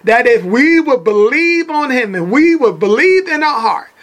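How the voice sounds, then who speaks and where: High-voiced fervent male preacher. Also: sing-song, moderate pace, a bit bright. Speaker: preacher and podcast host. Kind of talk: sermon.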